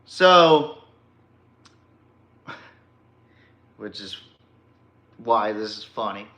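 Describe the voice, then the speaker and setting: A man's voice: on the low side, lively intonation, extremely slow, bright, forceful tone, echoey room. An American man hosting a sports podcast, ranting casually in a blunt, emphatic and profane way. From Texas, United States. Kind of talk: casual opinionated sports rant.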